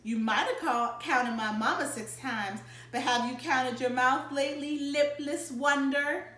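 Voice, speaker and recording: A woman's voice: high-pitched, steady tone, unhurried, fairly bright tone, roomy sound, voice pushed up. A woman voicing a sassy, smart-mouthed little brother, a young boy who taunts with sarcasm. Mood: taunting.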